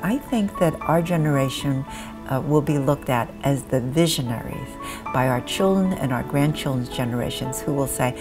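Middle-aged female interviewee. Low register, conversational documentary soundbites over clear music bed. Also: moderate pace. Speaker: former head of an advocacy association.

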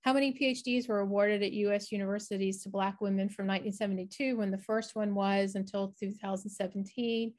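A woman's voice: medium pitch, fairly even intonation, brisk, hardly any pauses, a little mellow. A middle-aged woman, a researcher explaining her work in an online presentation over a video call. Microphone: video call, webcam framing.

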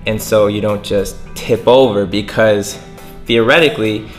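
Young man explaining sailing, low voice. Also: fairly even intonation, unhurried, faint music behind. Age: young adult. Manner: explains with hand gestures, plain everyday words. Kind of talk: casual explanatory lesson. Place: indoors by a window near the water.

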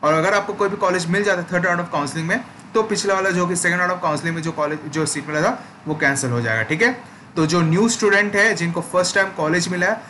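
Young man, tutorial host, bright tone, repeated check-ins, faint background hiss. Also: a little high. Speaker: education channel host. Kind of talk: screen-recorded tutorial walkthrough.

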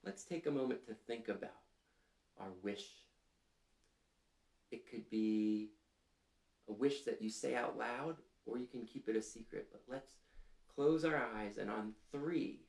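A man in his thirties hosting a children's storytime, reading aloud and prompting listeners in a gentle, deliberate, clearly phrased way, seated in a small room. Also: fairly low pitch. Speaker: storytime host reading picture books to children. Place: small furnished room indoors, seated on a couch. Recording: roomy indoor sound.

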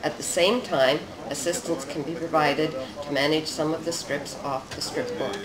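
Echoey scripted demo narrator, measured. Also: medium pitch, steady tone, crowd noise. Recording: roomy echo with noticeable background noise.

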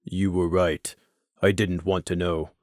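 A narrator voicing a blunt, terse male pegasus soldier with a flat delivery. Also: low voice, a little slow, dry recording, clean studio sound, pitched below the performer's own voice.

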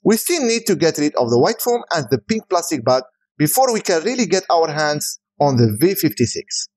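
Male tech reviewer, native Arabic speaker, higher-pitched voice with lively intonation, scripted product voice-over. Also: normal speed.

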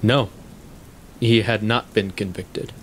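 An actor playing a shrewd, needling intellectual in a stage play, speaking deliberately in formal, articulate, condescending sentences. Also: male voice, fairly low pitch, fairly even intonation, slight background noise. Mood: musing, storytelling. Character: shrewd, probing male intellectual, coolly needling his guest. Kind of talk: stage play acting, long monologues.

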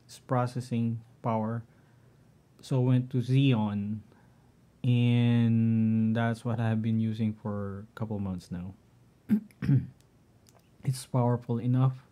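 A middle-aged man with a Filipino accent, talking through his home network setup in a halting way, often clearing his throat. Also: medium pitch, dark tone. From Philippines. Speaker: tech vlogger. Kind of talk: casual screen-share walkthrough.

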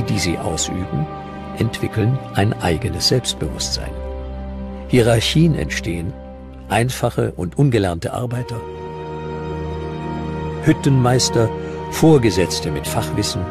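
A man reading scripted narration for a historical documentary. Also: lowish voice.

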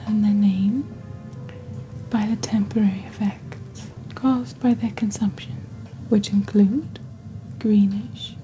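Female ASMR host, hushed and breathy, very mellow tone, slow theatrical roleplay delivery, close mic. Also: medium pitch, low-quality recording. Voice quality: breathy, whispery. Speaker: host of a roleplay shopping channel. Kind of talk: soft-spoken ASMR, scripted roleplay presentation. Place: indoors at a desk. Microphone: close desktop microphone.

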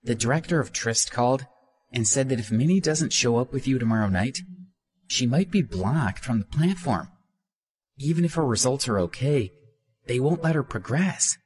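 A man voicing a young woman friend who pleads in a soft, persuasive tone. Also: medium pitch, animated, moderate pace, slightly rough, voice pushed up. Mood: pleading.

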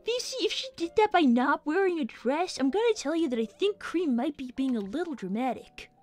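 Woman voicing an excitable, very high-pitched young boy fox. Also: brisk, faint music behind. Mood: chatty. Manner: chatty, earnest, excitable. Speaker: livestream host playing a game. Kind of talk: in-character casual game commentary.

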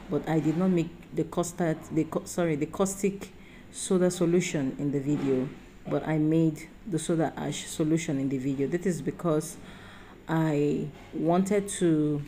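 A woman's voice: low-pitched, warm tone, slight background noise. A woman host talking through a homemade soap tutorial in a chatty, rambling way, with many fillers and self-corrections. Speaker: soap-making channel host. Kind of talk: casual tutorial voice-over.

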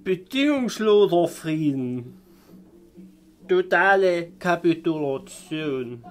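Slow-speaking, higher-voiced contemplative man. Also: animated. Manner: halting, contemplative, with long pauses. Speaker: vlogger musing on spirituality. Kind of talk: improvised philosophical monologue to camera. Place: small room indoors.

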